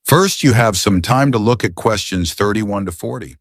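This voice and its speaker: Lowish, formal male exam announcer. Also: animated, normal speed, no echo, clean studio sound. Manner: formal, neutral. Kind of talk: formal scripted instructions.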